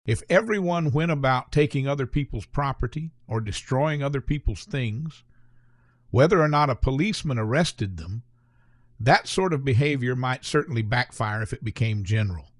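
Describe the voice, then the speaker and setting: A man voicing a stern, authoritative older gentleman who lectures some boys, then softens. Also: medium pitch, lively intonation, moderate pace, dry recording, natural voice. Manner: firm, authoritative.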